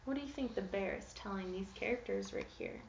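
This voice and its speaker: A woman reads to children like a teacher, expressive and inviting them to answer. Her voice sits at a middle pitch. The recording is roomy and a bit dull, with noticeable noise behind her. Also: brisk, vocal fry, far from the mic. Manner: expressive storytelling, posing questions to young listeners. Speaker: teacher reading a picture book aloud. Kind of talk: interactive children's read-aloud. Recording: echoey room, muffled, noisy background.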